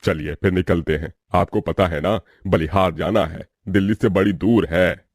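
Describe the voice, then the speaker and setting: Cartoon husband played by a man: middle-aged, mild and practical, very low voice, dry recording. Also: fairly even intonation, normal speed, dry recording. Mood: mildly protesting.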